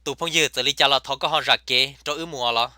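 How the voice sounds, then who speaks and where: A man voicing a comic male cartoon character who chatters in a rapid, emphatic way. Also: mid-range voice, pushed and bright, no room sound, clean studio sound. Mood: chatty.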